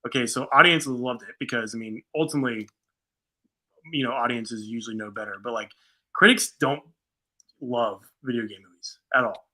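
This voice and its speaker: This is an American man co-hosting a casual talk show. His voice is strikingly bright and forceful, at a middling pitch. He moves between reading aloud and loose, slangy commentary. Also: moderate pace, poor audio. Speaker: show co-host. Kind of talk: casual chat reading out and reacting to reviews.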